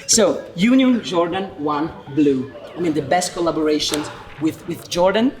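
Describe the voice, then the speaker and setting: A man conducting an informal sports interview in halting, repetitive English. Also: fairly high pitch, darkish tone. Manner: halting, repetitive phrasing in non-native English. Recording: music playing behind the voice. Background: background music.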